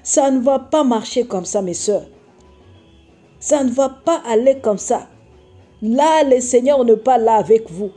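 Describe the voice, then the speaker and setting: A woman's voice: fairly high pitch, measured pace, mellow, faint music behind. A woman from Congo giving a scolding video sermon, repeating herself often and hesitating with filler sounds. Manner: admonishing, repetitive, many hesitations and filler sounds. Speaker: online preacher.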